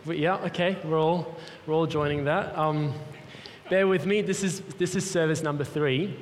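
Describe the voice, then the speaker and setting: A man's voice: a little high, fairly even intonation, moderate pace. Man, Swiss accent, earnest guest preacher, long hall reverb, faint room noise. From Switzerland. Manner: earnest, personal storytelling. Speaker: guest speaker for a mission organization. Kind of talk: sermon. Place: large church hall.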